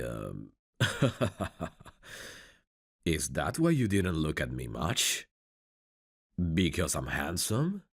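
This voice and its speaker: A man acting a courteous, tender mafia bridegroom in an intimate ASMR romance roleplay, soft-spoken and flirtatious with a husky, gravelly voice. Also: rumbling low, no echo, clean studio sound, full character voice. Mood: amused, shy.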